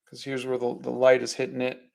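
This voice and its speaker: A middle-aged man hosting an art livestream, chatting in a relaxed, halting way with fillers while he paints. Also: mid-range voice, gravelly. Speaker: artist and livestream host. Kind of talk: casual chat while painting.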